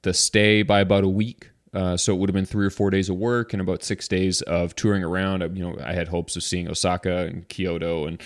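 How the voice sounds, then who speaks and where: Deep-voiced relaxed male podcast host. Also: a little fast, hardly any pauses, dry recording, clean studio sound. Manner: relaxed, rambling, frequent restarts. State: slightly congested. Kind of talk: casual podcast chat.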